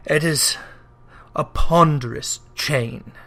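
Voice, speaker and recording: Guy doing a tormented old businessman ghost, super slow and solemn, drags out every word like a wail, storybook style. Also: a little high, gravelly, sharp tone, pitched below the performer's own voice, full character voice. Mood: grim, accusing. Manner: slow, solemn, drawn-out wailing.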